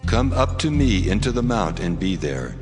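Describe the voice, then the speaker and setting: A man performs a divine male figure, solemn and commanding, with a very low voice that moves through wide, lively intonation. He speaks in a dramatized scripture style inside a long, cavernous reverb. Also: moderate pace. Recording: long reverb, music behind. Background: background music.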